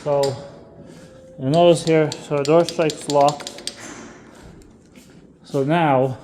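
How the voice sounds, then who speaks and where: A man's voice: on the high side, fairly even intonation, drawn-out words, darkish tone, a little hiss or hum. A man instructing in an informal, step-by-step way, as in a casual hands-on tutorial. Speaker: instructor demonstrating equipment.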